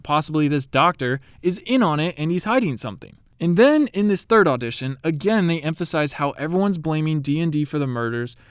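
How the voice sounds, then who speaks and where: A man's voice: on the high side, clear, resonant tone, no echo, studio quality. A young man, a bit sniffly, giving casual, eager commentary to his viewers as a YouTube creator. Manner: chatty and eager.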